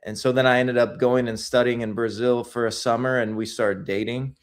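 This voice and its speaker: A man's voice: mid-range voice, fairly even intonation, normal speed. A man being interviewed as a guest filmmaker, telling his stories in a casual, rambling way with lots of restarts.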